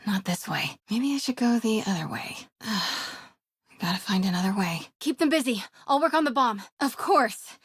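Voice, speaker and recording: An actress playing a brave, quick-witted young woman reporter in a video game, with an American accent, a breathy, husky voice and a wry, conversational, often hushed delivery. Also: medium pitch, no room sound, studio quality, full character voice. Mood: hushed, urgent, then determined, upbeat. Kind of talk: naturalistic video game voice acting.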